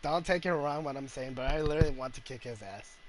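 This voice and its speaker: A man's voice: mid-range voice, fast, over a noisy crowd. A man giving casual gameplay commentary as a game streamer.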